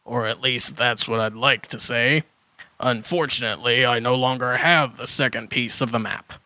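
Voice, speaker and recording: A man's voice: medium pitch, fairly even intonation, moderate pace, sharp tone, no echo, low-quality recording. A man playing a flustered dinosaur hermit who muddles his words in a children's audio drama. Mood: apologetic. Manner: flustered word-swapping, correcting himself.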